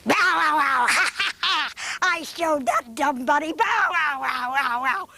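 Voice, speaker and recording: A woman's voice: high voice, animated, slow pace, gravelly, sharp tone, dry recording, voice pushed up, heavily acted. Woman doing a rowdy barking dog. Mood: gloating. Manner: gloating, barking and cackling. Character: mischievous boastful male dog, rowdy.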